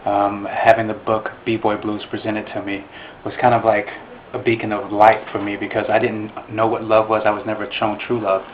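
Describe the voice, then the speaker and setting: Low, fairly even-toned man, noisy recording. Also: moderate pace. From Indiana, United States. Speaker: cast member being interviewed. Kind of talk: personal testimonial, casual. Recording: dull sound with background noise.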